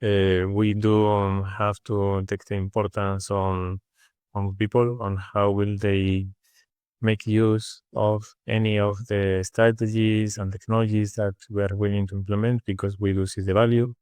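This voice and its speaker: A man from Spain with a Spanish accent, answering interview questions in a halting way with many fillers and restarts. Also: lowish voice, flat delivery, darkish tone, clear, resonant tone, no echo. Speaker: interview guest. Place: studio. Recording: dry studio sound.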